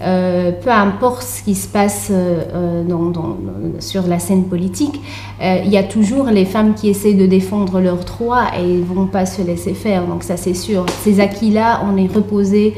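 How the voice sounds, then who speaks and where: Mellow-voiced young woman, halting delivery. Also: medium pitch, steady tone. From Tunisia. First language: Arabic. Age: young adult. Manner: hesitant, halting delivery with repeated words. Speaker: interview guest, author and translator. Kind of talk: conversational interview answers. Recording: roomy echo, faint background noise.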